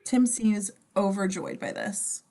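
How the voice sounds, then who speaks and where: A woman with a mid-pitched voice reads stage directions aloud. It is scripted narration for an amateur table reading, describing each scene between the dialogue. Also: steady tone, takes it slowly, warm tone, a little hiss or hum.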